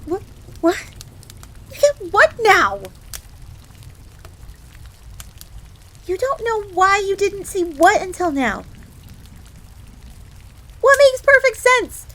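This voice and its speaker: A woman's voice: extremely high pitch, very animated, slow pace, long pauses, bright, forceful tone, heavily acted. A woman playing a jealous, easily flustered fox maid in a roleplay audio drama, haughty and indignant yet self-deprecating, prone to stammering. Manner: indignant, stammering when flustered, refers to herself in third person.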